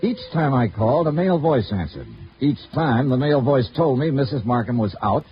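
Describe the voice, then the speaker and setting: Clipped, probing radio detective. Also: male voice, medium pitch, expressive, fairly quick, slightly rough, soft background music. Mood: dry narration. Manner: clipped, matter-of-fact, pressing with questions. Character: dry, probing male insurance investigator narrating his case. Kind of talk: 1950s radio detective drama acting. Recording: dull, noisy old radio recording.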